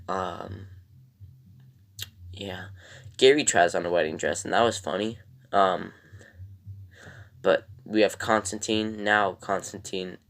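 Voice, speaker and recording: A man with a raspy voice casually recapping a TV episode as a reviewer, in a rambling, halting way. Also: low voice, a bit bright.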